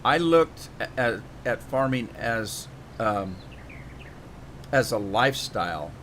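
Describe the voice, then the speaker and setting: A man's voice: mid-range voice, expressive, slow pace, fairly bright tone, slight background noise. A man streaming a farming game, chatting in a rambling, folksy way while slightly losing his voice. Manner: rambling, folksy, restarts often. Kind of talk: casual live-stream chat while playing.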